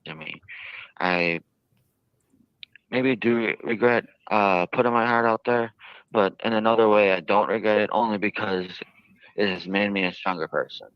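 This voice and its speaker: A man with a very low, clean voice speaks in halting, drawn-out phrases as he answers interview questions. The sound is very dry and somewhat muffled, like a lo-fi archive interview. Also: clean tone, no room sound. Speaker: jail inmate interviewed by a reporter.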